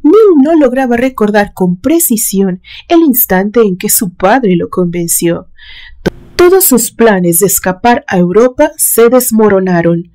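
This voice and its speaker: A woman storyteller reads dramatic suspense fiction in a medium-pitched voice. Her delivery is deliberate, with pauses that build tension. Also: expressive, warm tone. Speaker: narrator, channel host. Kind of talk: dramatic suspense audiobook narration.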